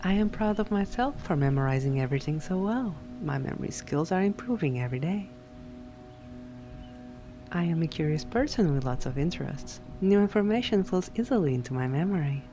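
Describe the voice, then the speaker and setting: A woman reads scripted affirmations for sleep hypnosis in a measured, deliberate way, phrase by phrase. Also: fairly low pitch, expressive. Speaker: affirmations narrator. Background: background music.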